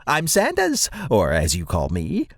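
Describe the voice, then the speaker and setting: A man voicing a small, chatty squirrel information broker with a squeaky high-pitched voice and an eager, rambling manner in an audiobook. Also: wildly swooping pitch, unhurried, creaky, no room sound, clean studio sound, exaggerated voice. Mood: chirpy, eager.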